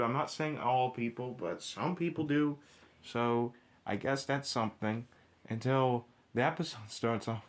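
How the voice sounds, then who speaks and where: A man with a medium-pitched voice delivers an informal, opinionated review rant. He is blunt and swears freely. His delivery is halting, circling back and restarting phrases as he goes. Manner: halting, with repeated restarts and backtracking; blunt, heavily profane. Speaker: cartoon episode reviewer. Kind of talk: informal ranting commentary.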